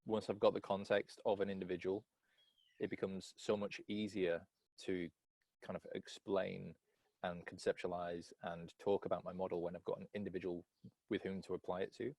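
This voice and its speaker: A man speaking as a coach on a call, putting a reflective question in measured, deliberate, wordy phrases. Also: sits low, flat delivery, darkish tone, no room sound.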